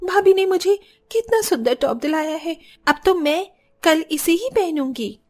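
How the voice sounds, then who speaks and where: A woman's voice: very high voice, expressive, a little slow, a little mellow. A woman playing a kind, earnest young sister-in-law in a cartoon family story. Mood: happy. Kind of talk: Hindi cartoon story acting.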